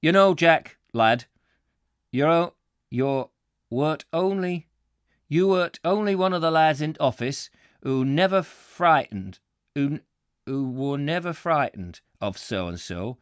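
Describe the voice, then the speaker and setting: A man voicing a plain-spoken older Yorkshire warehouseman in dialect, deliberate and forceful, while reading from a book. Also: fairly high pitch, expressive, clean tone, dry recording, clean studio sound, voice pushed up. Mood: indignant, emphatic. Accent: Yorkshire, put on. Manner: deliberate, aggressive, emphatic dialect delivery. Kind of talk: acted dialogue in a book reading.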